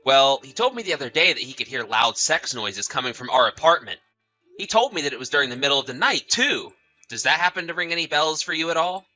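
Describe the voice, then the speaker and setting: Man acting a bright, forceful suspicious husband. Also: mid-range voice, very animated, fast talker, soft background music, low-quality recording. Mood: accusing. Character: betrayed husband, polite then suspicious and accusing. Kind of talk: dramatized text-message story narration.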